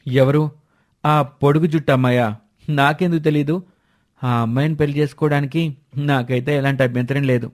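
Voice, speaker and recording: A man's voice: mid-range voice, steady tone, fairly quick, pauses often, pitched above the performer's own voice, natural voice. A man voicing an eager young bachelor son in a family story.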